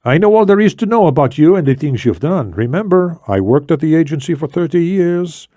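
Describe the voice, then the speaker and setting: A man voicing an older, shrewd and commanding Spanish ex-intelligence officer in formal, deliberate audiobook dialogue. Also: on the high side, lively intonation, warm tone, no room sound, clean studio sound, voice pushed up, close to an everyday voice. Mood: firm, controlled.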